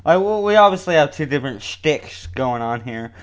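A man's voice: a little high, lively intonation, normal speed, clean tone, highs cut off. A man co-hosting a podcast in casual banter, rambling, laughing often and swearing freely. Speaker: podcast co-host.

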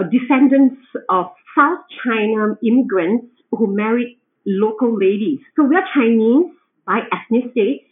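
A woman from Singapore with a Singaporean accent, a home cook chatting as a podcast guest, explaining with frequent restarts. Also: fairly high pitch. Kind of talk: conversational interview. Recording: tinny phone-like remote line.

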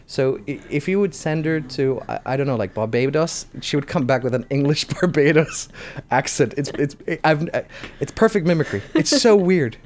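A man's voice: a little high, expressive. Guy hosting a casual chat, rambly and jokey, trips over his words, faint hiss behind. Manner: joking, rambling, halting. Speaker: host. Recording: faint background noise.

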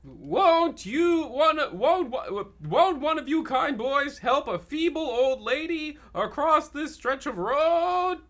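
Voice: sits high, lively intonation, takes it slowly, hardly any pauses, fairly bright tone, exaggerated voice. A performer playing a feeble, hesitant old lady who stammers and repeats words in a comic radio play. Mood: feeble, halting. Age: older. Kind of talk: amateur comic radio drama acting.

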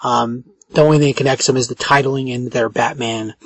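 A man with a raspy voice hosting a podcast in casual chat, halting and often correcting himself. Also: medium pitch, fairly even intonation, no echo. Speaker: podcast host.

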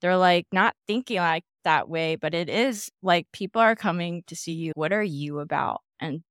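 A woman's voice: lowish voice, normal speed, a bit bright, no echo, clean studio sound. Enthusiastic woman podcast host. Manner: enthusiastic, affirming. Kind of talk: casual interview chat.

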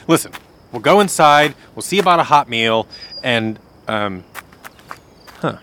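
A man's voice: mid-range voice, lively intonation, unhurried, sharp tone, a little hiss or hum. A man playing a patient, courteous young male adventurer in a fantasy audio drama, speaking hesitantly and placatingly. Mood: concerned, soothing.

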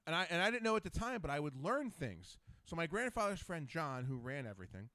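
A man in his forties hosts a relaxed podcast and talks in a loose, profane way, drifting into long stories. His pitch swings widely and sits slightly high. The sound is close and dry, with no room echo. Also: a little fast. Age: 40s. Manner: loose, profane, rambling storyteller. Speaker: podcast host. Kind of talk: casual podcast chat.

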